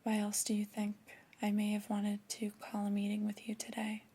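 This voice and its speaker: A woman playing a displeased but calm female boss in a soft-spoken ASMR roleplay, with a breathy voice and measured, pausing delivery. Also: mid-range voice, natural voice. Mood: stern, measured. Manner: hesitant, measured, with drawn-out pauses.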